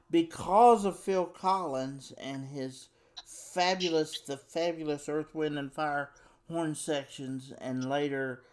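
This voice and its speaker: A man hosting an interview, talking eagerly in a casual way and often repeating words. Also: fairly high pitch. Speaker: show host, interviewer.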